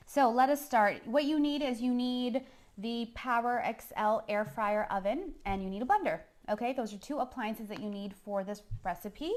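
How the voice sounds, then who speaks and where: Chatty woman hosting a live cooking stream. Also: fairly high pitch. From San Juan, Puerto Rico. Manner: chatty, informal, addresses viewers directly with frequent "okay?" tags. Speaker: chef hosting a cooking show. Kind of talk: casual live-streamed cooking demo chat.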